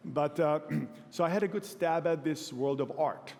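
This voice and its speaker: A man from Hungary in his forties, giving a relaxed, self-deprecating conference talk to a live audience. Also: a little high, a little slow. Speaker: keynote speaker. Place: large event hall. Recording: long hall reverb.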